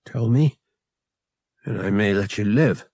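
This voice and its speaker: Man acting a desperate, husky young man. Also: lowish voice, slow pace, darkish tone, no room sound, studio quality, full character voice. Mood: desperate, demanding. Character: desperate, defiant young man, worn out and wary.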